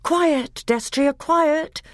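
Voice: sits high, extremely slow, no room sound, studio quality, voice pushed up. Reader doing a feeble, frightened young prince. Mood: soothing. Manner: feeble, polite.

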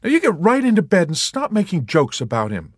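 A man's voice: high voice, huge pitch swings, a little fast, no room sound, studio quality, pitched above the performer's own voice, heavily acted. A man voicing a prim young woman nurse who turns indignant and then pleads in a quavering voice. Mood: shocked.

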